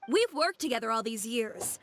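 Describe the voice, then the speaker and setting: A woman voicing a composed, blunt businesswoman in a video game, crisp and direct. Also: on the high side, expressive, moderate pace, fairly bright tone. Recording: game audio with faint music.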